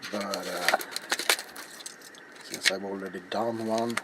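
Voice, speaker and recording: A middle-aged man with a lowish voice talks very slowly and softly, as an ASMR crafting host. He is hushed and often drops into a whisper. The recording has very loud background noise. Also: steady tone. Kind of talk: soft-spoken ASMR craft narration. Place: small cluttered indoor workshop.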